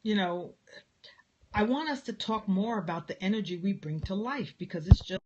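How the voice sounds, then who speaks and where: A speaker from New York and New Jersey with a New York area accent, a spiritual healer giving a meandering, gentle live-stream talk to viewers and laughing often. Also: medium pitch, lively intonation, moderate pace, lo-fi sound, a little hiss or hum. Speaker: spiritual healer and Reiki teacher.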